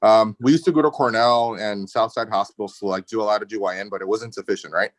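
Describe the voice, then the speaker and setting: A man's voice: lowish voice, steady tone, fast, dry recording. A middle-aged man from the Dominican Republic speaking informally and emphatically as a surgeon in a casual medical discussion. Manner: informal, opinionated, emphatic with slangy asides. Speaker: endometriosis surgeon, guest speaker. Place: video call.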